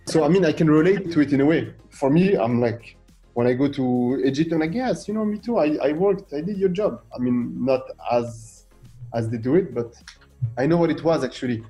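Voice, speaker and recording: Radio guest: middle-aged French-accented man, mellow and lively, fond of rhetorical questions. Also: a little high, normal speed, highs cut off, faint music behind. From France. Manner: animated, fires rhetorical questions, acts out quoted voices. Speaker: guest artist. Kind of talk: radio interview.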